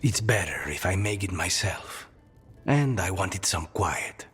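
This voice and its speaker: A weary assassin boss from a fantasy game, very low in pitch. He talks in a hushed, dry, resigned way, with faint music behind him. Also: animated, a little slow, breathy edge, exaggerated voice. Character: weary, loyal male assassin leader, dryly resigned. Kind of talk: video game voice acting.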